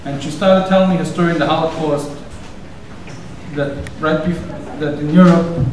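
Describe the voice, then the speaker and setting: A man's voice: a little high, fairly even intonation, moderate pace, a little mellow, slight background noise. A man hosting the evening, giving a casual, rambling introduction and telling a story in a conversational way. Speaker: event organizer and host. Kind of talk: introductory speech. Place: synagogue hall. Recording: very echoey hall, distant mic.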